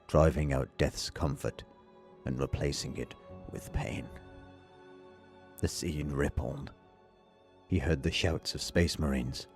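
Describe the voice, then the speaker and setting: A man narrating a dramatic story in a solemn, measured, theatrical way. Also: very deep voice, darkish tone. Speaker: voice-over narrator. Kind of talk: dramatic scripted audiobook narration.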